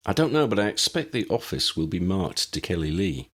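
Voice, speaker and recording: Man as a calm, husky young wizard leader. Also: low voice, brisk, full character voice. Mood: watchful. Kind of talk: audiobook character dialogue.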